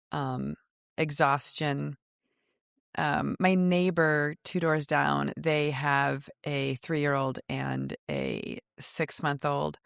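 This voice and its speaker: A woman's voice: low voice, vocal fry, smooth, no echo, clean studio sound. A woman in her forties co-hosting a podcast, chatting casually in a hesitant, reflective way. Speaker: podcast co-host. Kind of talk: casual chat.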